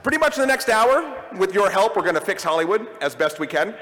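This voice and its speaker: A man's voice: sits high, sing-song, a little fast, fairly bright tone. A man casually hosting a live panel, talking to the room through a stage microphone. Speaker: panel moderator and podcast host. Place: large convention panel room. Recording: live convention hall, long reverb.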